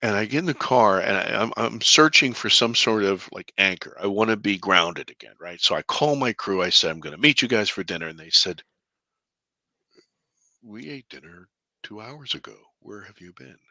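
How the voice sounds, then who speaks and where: Deep-voiced male interview guest, earnest storyteller, low pitch, bright forceful tone. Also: dry recording. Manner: earnest, halting with restarts, bursts of excitement. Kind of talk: conversational storytelling.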